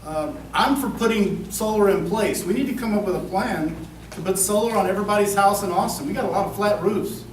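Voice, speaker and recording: A man's voice: high-pitched, brisk, slight background noise. A man answering as a candidate at a public forum, conversational and repetitive. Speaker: council candidate. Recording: echoey hall, distant mic.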